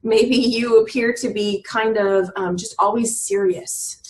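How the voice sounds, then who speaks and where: A woman's voice: fairly high pitch, fairly even intonation, a little slow, slight background noise, poor audio. A woman in her thirties talking earnestly as an interview guest, often restarting her sentences and filling with "you know". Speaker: guest on a business podcast. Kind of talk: reflective conversational chat.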